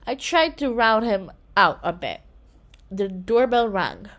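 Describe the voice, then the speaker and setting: A woman reading a children's story aloud in an expressive manner, occasionally stumbling and correcting herself. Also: medium pitch, takes it slowly. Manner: expressive, with slips and self-corrections. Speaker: children's book reader.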